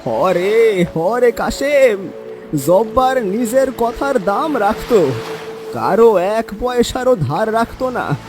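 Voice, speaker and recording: A man playing an emotional, grieving village man in an audio horror story, with a rural Bangladeshi accent and drawn-out, lamenting delivery. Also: sits high, lively intonation, over music. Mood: sorrowful recollection. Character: grieving, emotional village man mourning his drowned friend.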